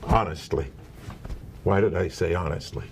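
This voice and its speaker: Gravelly, low-voiced older man. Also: normal speed, slight background noise. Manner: chatty, joking storyteller. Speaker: interview guest, veteran broadcaster. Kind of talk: casual interview chat.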